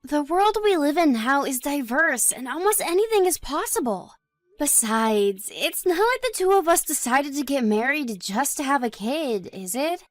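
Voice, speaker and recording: A woman playing a supportive, modest young wife in scripted story dialogue, speaking in a measured, reassuring way. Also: high-pitched, sing-song, dry recording. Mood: gentle, reassuring.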